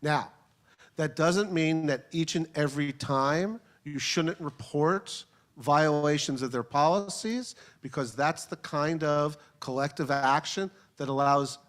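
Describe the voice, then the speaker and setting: A man answering questions on a panel, measured and diplomatic. His phrasing is formal and careful. His voice sits somewhat high for a man. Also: a bit bright. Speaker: advocacy organization representative on a panel. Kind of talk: panel answers at a public forum.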